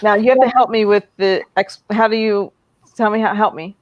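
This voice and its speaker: A woman's voice: medium pitch, steady tone, normal speed, no echo, lo-fi sound. A woman hosting a casual live interview, chatty and enthusiastic, with lots of fillers. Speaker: host.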